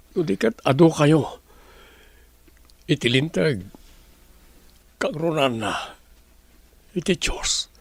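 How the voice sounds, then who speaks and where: Gravelly, remorseful prisoner played by a man. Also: a little high, no echo, heavily acted. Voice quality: gravelly, husky. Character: remorseful prisoner begging forgiveness. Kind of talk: radio drama acting.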